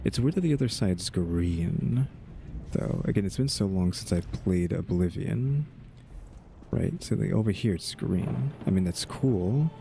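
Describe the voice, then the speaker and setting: A man's voice: deep voice, lively intonation, unhurried, creaky, background noise. A man giving casual, laid-back gameplay commentary, musing aloud as he plays. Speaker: gamer, video creator.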